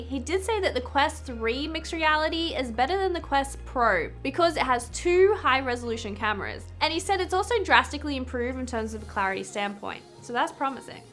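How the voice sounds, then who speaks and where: A woman's voice: high voice, bright, forceful tone. A young Australian woman with a stuffy nose from a cold, giving an upbeat, chatty tech review to camera. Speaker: VR tech YouTuber.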